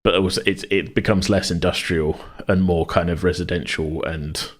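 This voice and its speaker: A man co-hosting a podcast in a casual worldbuilding chat, rambling as he thinks out loud. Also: low-pitched, steady tone, normal speed. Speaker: podcast co-host.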